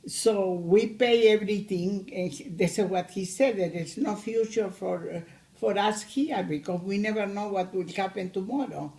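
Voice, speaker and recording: A woman in her eighties, originally from Argentina, speaking English with an Argentine Spanish accent as she recalls her life in a family interview, with frequent pauses and hesitations. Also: medium pitch, dark tone, rough recording. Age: 80s. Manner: slow, hesitant, many pauses. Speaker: grandmother interviewee.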